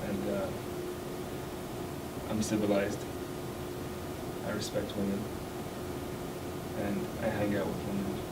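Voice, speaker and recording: A man from Kuwait, an international student speaking on a discussion panel in an earnest, measured way. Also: on the low side, monotone. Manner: earnest, measured, pausing often. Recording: roomy, distant mic, noisy hall.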